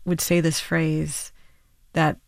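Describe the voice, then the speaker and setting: Gravelly-voiced reflective woman musician. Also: low voice, little pitch movement, slow, no room sound, studio quality. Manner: reflective, hedging with many restarts and "like". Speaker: musician guest. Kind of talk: radio interview.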